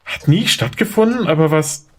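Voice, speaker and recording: A man's voice: a little high, expressive, moderate pace. A man playing a bewildered, anxious lawyer who doubts his own mind, in a questioning, unsettled way, in an audio drama. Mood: confused. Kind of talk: German audio drama acting.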